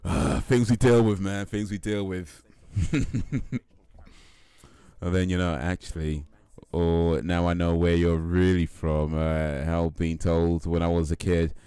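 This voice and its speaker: A man's voice: low voice, level tone, a little slow, warm tone, smooth, no echo. A young man from the UK, chatting casually on his livestream, laid-back and often laughing, with lots of fillers and repeats. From United Kingdom. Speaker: livestream host. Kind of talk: casual chat with stream viewers.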